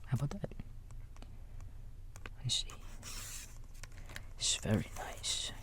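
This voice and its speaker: A man playing a kindly raccoon babysitter in a bedtime ASMR roleplay, whispering softly and gently reassuring a small child in a folksy, halting way. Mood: soothing, playful. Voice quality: soft, breathy whisper. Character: kindly big-brotherly male raccoon babysitter.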